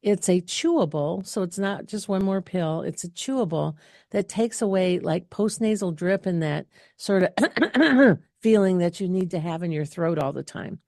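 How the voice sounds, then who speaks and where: A woman's voice: on the low side, animated, moderate pace, vocal fry, dark tone, no room sound, studio quality. A middle-aged woman hosting a casual live question-and-answer session, talking in a chatty, rambling way with many fillers and restarts. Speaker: health clinic host answering viewer questions.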